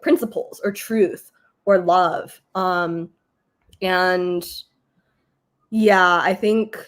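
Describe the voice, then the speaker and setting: Slightly hoarse young woman, reflective. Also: on the low side. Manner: thoughtful, with many fillers. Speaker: exhibit organizer. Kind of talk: reflective discussion.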